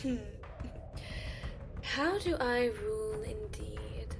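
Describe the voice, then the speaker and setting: A woman voicing a haughty siren empress in a fantasy audio drama, regal, theatrical and deliberate. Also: fairly high pitch, wildly swooping pitch, music in the background, exaggerated voice. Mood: coolly weighing.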